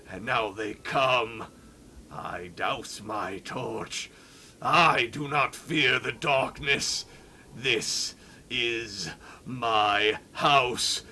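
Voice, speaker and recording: A man's voice: mid-range voice, vocal fry, sharp tone, a little hiss or hum, exaggerated voice. A man voicing a weary, vengeful lone warrior in a solemn, dramatic read-along of a game journal. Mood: defiant, vengeful. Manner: solemn, dramatic, slow declaiming.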